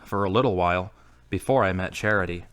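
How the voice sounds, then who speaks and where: A man's voice: sits low, quick pace, a bit bright. A man acting a brooding, earnest, troubled character in an audio drama. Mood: troubled, confiding.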